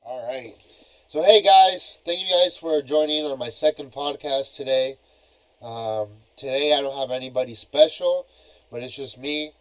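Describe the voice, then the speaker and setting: A man's voice: mid-range voice, unhurried, fairly bright tone. A young man hosting a casual solo podcast, talking in a laid-back, rambling way full of filler phrases. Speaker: podcast host. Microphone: cheap plug-in computer microphone. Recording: dull lo-fi cheap mic.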